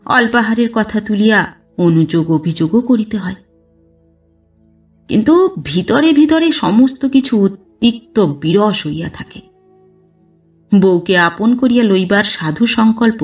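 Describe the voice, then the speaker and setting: A woman reading a literary story aloud as a narrator, with expressive, dramatized delivery. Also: medium pitch, distant mic. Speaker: audiobook narrator. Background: background music.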